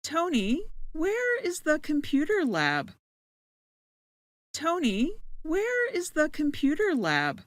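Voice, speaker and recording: A woman playing a very slow, friendly new student. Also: high-pitched, wildly swooping pitch, soft background music, heavily acted. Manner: slow, deliberate, each line said twice. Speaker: student in a scripted learner dialogue. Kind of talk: slow scripted dialogue for English learners.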